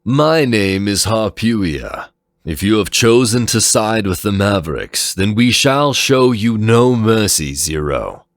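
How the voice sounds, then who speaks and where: A man voicing a stern, proud guardian leader in a formal, deliberate, threatening way for a dramatic story. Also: on the low side, sing-song, dry recording, studio quality, pitched above the performer's own voice, full character voice. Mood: stern threat. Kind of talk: dramatic fan fiction reading.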